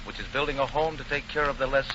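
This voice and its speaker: A man's voice: medium pitch, steady tone, fast talker. A man hosting a 1940s radio show in an effusive, showman-like announcing style. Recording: dull, noisy 1940 radio recording.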